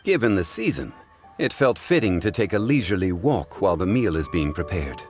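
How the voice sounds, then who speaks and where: A man playing a calm, refined, reflective gentleman in a video game cutscene, speaking with formal, poetic composure. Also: low voice, brisk, faint music behind. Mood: calmly cordial.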